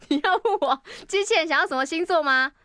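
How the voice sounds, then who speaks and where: A woman's voice: very high-pitched, animated, moderate pace, pushed and bright, no echo. A woman with a Taiwanese Mandarin accent doing playful improvised radio banter, giggly and flustered, often repeating words. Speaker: radio comedy performer.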